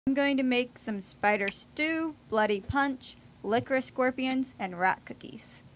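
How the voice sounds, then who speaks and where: A woman's voice: high voice, unhurried. A woman playing a sardonic hippie goth with macabre tastes in an amateur audio play, dry and playfully sarcastic. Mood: dryly teasing.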